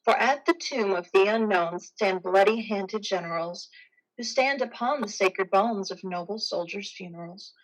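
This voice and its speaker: A woman's voice: medium pitch, natural voice, poor audio. Woman acting a solemn muse as news reporter. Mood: solemn, portentous. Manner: formal, measured, portentous. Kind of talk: rhymed verse in reporter delivery.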